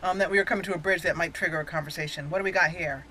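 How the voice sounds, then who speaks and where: A woman's voice: fairly low pitch, steady tone, racing, sharp tone, slight background noise. A woman hosting a roleplay playthrough, giving casual, chatty commentary and thinking aloud.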